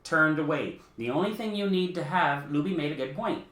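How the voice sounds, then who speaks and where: A man's voice: on the high side, lively intonation, fairly quick, fairly bright tone, a little hiss or hum. A man giving his opinions as a talk show panelist, blunt, insistent and argumentative in political discussion. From the Bahamas, Canadian Bahamian. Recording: echoey room.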